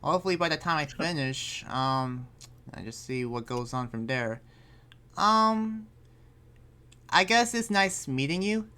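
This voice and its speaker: A man's voice: on the high side, animated, a little slow, pauses often, bright, forceful tone, clean tone. A man playing an earnest, cautious young demon slayer in an improvised anime game roleplay, talking in a hesitant, rambling way. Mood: friendly, hesitant. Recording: music playing behind voice.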